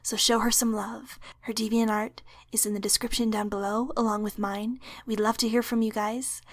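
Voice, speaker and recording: A woman's voice: on the high side, little pitch movement, moderate pace, no echo. A young woman whispering a casual thank-you to viewers as the creator of an audio drama. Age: young adult. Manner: whispering, informal.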